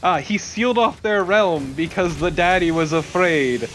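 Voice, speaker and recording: Higher-pitched, dry man on game commentary. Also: moderate pace, fairly bright tone, soft background music. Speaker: let's play co-commentator. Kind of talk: casual commentary, summarizing game lore. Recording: game ambience behind commentary.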